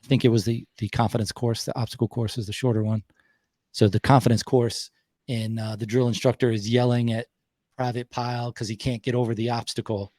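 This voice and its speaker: A man with a Southern US accent hosting a podcast, talking reflectively and unhurriedly, with pauses. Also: medium pitch, level tone, warm tone, dry recording. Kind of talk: casual conversation.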